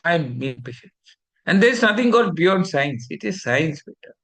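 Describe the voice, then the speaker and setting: A man's voice: fairly high pitch, big pitch swings, normal speed, a bit bright. A middle-aged man from India with an Indian English accent, lecturing a fellowship class in a preachy, scolding way and mixing in Hindi.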